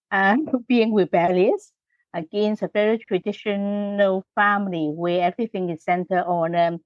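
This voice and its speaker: A woman's voice: medium pitch, dry recording, highs cut off. A middle-aged woman from Hong Kong with a Hong Kong accent, answering interview questions with many ums and short laughs. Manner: frequent ums and uhs, laughs between phrases. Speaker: guest engineer.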